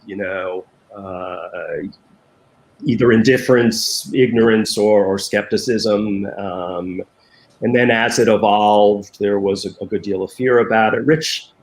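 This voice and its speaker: A man's voice: on the low side, a little mellow, reverberant, poor audio. An older man, a former newspaper design director, answering interview questions in a hesitant way full of ums and restarts over a video call.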